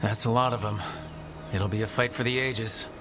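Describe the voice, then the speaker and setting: Steady, earnest hero played by a man, music behind. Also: fairly low pitch, moderate pace, lightly acted. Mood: concerned. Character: earnest, determined heroic male adventurer. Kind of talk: fantasy game voice acting.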